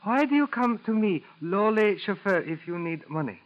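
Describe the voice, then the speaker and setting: An actor playing a suspicious, falsely humble Japanese chauffeur with halting, broken English and a Japanese accent, in 1940s radio style. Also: male voice, high-pitched, animated. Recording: dull 1940s radio recording.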